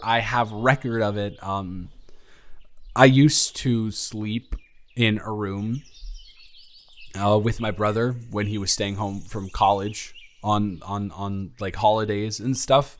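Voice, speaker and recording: A man's voice: on the low side, fairly bright tone. A young man playing an awkward, smitten, introverted college dormmate in a romantic audio roleplay, rambling and stammering in a flustered way. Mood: nervous, playful. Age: young adult. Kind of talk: intimate romantic audio roleplay, conversational acting.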